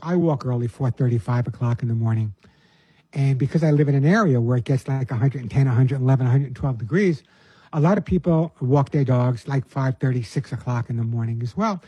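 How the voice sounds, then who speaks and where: A man's voice: on the high side, warm tone, husky, no room sound, poor audio. An older man from New York, a pet behaviorist hosting a casual advice show, talking in a chatty way and often repeating words. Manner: chatty, repeats words, answers listener emails.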